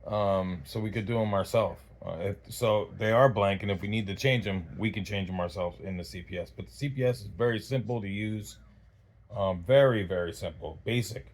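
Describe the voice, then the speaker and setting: Low-pitched man, halting casual reviewer. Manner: halting, rambling, backtracks mid-thought. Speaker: host of a radio gear review channel. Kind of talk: casual unscripted product review chat.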